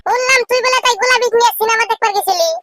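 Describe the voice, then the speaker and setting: Very squeaky, impatient cartoon villager. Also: male voice, rapid-fire, pushed and bright, no room sound, clean studio sound. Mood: curious. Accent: rural Bangladeshi. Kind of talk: comedy cartoon dialogue.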